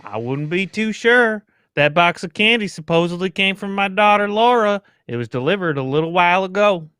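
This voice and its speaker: A curt, blustery, suspicious man of means, acted by a man, with a clear, resonant voice pitched slightly high. He is impatient and dismissive, as in an old radio murder mystery. The recording is dry and close. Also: lively intonation, normal speed, bright, forceful tone, no room sound. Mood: grudging, wary. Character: gruff, suspicious, impatient wealthy father under threat. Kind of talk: old-time radio drama acting.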